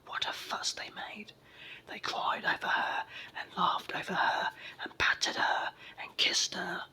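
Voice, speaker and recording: A person with a sore throat and a cold, whispering a children's story in a raspy, froggy voice as ASMR narration. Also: slow, rough recording. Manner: hushed whispering throughout.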